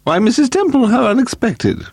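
Haughty aristocratic lady, played by a man, raised high, prim and severe, for a mystery audiobook. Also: very animated, normal speed, gravelly, darkish tone, husky, dry recording, studio quality, full character voice. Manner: prim, disapproving.

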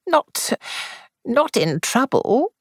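Woman doing a crisp elderly spinster. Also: sits low, wildly swooping pitch, moderate pace, no echo, exaggerated voice. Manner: crisp and dry.

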